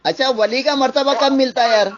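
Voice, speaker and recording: A man's voice: extremely high pitch, steady tone, a little fast. A man debating religion on a recorded phone call, insistently repeating his questions. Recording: noisy, dull phone call recording with clicks.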